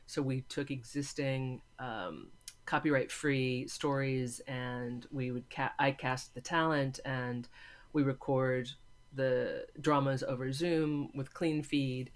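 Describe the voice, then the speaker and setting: A woman's voice: rumbling low, slow pace. A woman from California with an American accent, answering conversationally as an interview guest. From California, USA. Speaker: interview guest, film lecturer and voice actor.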